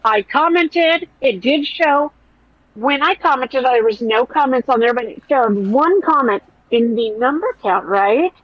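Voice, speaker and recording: Woman podcast host, nasal and whiny, foul-mouthed and animated; dry, muffled lo-fi sound. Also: high voice, a little slow, dry recording. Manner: blunt, profane, animated. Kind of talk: casual commentary chat.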